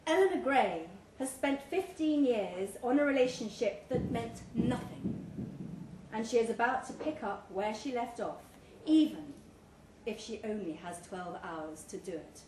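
A woman narrates a short story live in a pub, in a fairly high voice. She takes her time, leaving measured dramatic pauses. The room echoes around her, with some noise behind. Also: lively intonation. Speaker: story reader. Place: pub, live reading event.

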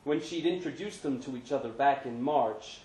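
A man's voice: mid-range voice, normal speed, a little mellow. Man reading a story, echoey noisy room. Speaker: story reader. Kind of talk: live literary story reading. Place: pub event room, live reading. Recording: echoey pub room, noticeable background noise.

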